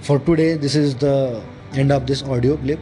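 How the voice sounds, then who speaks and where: A man from India with an Indian accent and Hindi as his first language gives an informal motivational self-help monologue as a podcast host, repeating and listing his points in halting English. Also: medium pitch, fairly even intonation, dark tone. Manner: repetitive, emphatic listing of points; halting, non-fluent English. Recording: music playing behind the voice. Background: clear background music.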